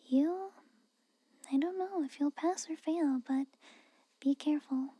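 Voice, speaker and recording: A woman playing a guarded, haughty but secretly caring castle maid in a whispered ASMR roleplay, halting and grudging, close to the microphone. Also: high-pitched, no room sound. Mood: cautioning, stern. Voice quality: soft, breathy whisper. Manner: hesitant, halting, grudging, cautioning.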